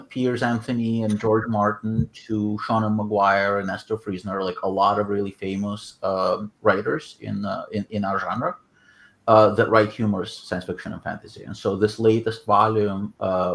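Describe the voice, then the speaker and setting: Low-pitched, gravelly man on a call. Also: a bit bright, low-quality recording. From Odessa, Ukraine. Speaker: writer, translator and anthology editor. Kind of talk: casual project introduction on a video call. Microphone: video call microphone.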